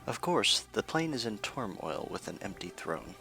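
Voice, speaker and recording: A man's voice: lowish voice, sing-song, normal speed, creaky, bright, forceful tone, faint music behind, full character voice. A man playing an earnest news anchor in a fantasy radio news parody, with a polished, presentational delivery. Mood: earnest newsreading.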